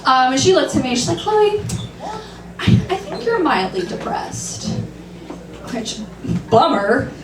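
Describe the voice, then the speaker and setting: A woman in her thirties doing a dry, self-deprecating stand-up set, sometimes shrill and laughing at her own jokes. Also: big pitch swings, a little slow, pauses often. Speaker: stand-up comedian. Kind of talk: stand-up set at an open mic. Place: comedy club stage. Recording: roomy, distant, noisy club recording.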